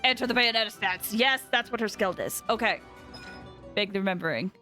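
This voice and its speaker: A woman game streamer giving casual live commentary in an excitable, expressive, giggly way. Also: mid-range voice, brisk, soft background music.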